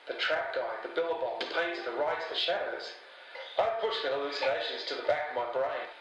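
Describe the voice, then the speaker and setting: Echoey, eccentric older actor as a conspiracy buff. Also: male voice, fairly high pitch, brisk, bright, forceful tone. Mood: earnest, rambling. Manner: clipped fragmented phrasing, matey slang. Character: eccentric older male conspiracy-minded webmaster, rambling and friendly. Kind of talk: audio drama podcast interview. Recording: distant, echoey room with faint noise.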